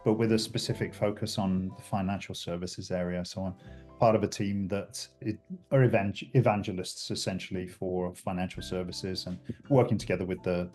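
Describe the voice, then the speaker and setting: A man's voice: deep voice, steady tone, moderate pace, a little mellow, soft background music. A middle-aged man from the UK with a British accent, talking reflectively as a podcast guest, with many fillers and restarts. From United Kingdom. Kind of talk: conversational interview.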